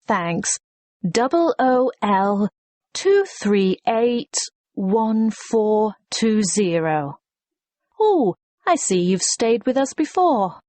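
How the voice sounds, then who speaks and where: A woman's voice: medium pitch, big pitch swings, drawn-out words, a little mellow, dry recording. A woman playing a courteous, efficient hotel receptionist in a scripted listening-test dialogue, formal and helpful.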